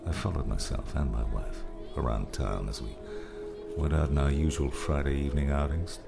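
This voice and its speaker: Man voicing a gravelly, very deep old mountaineer mentor. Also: fairly even intonation, moderate pace, loud music behind the voice. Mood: somber, reminiscing. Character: gruff older male mountaineer mentor, wry and reflective.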